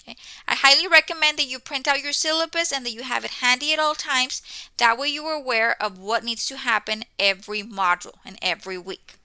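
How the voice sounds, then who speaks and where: A woman's voice: high voice, fairly quick, bright, forceful tone, clean tone, no room sound. A woman in her forties, a native Spanish speaker from Mexico, welcoming students to an accounting course and often checking in with "okay?". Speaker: accounting instructor.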